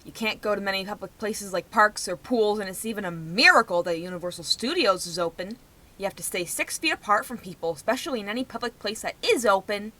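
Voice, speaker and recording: A woman's voice: medium pitch, animated, a little fast, pushed and bright. A young adult woman giving rambling personal commentary in a vlog, speaking in an emphatic, outspoken way. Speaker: vlogger.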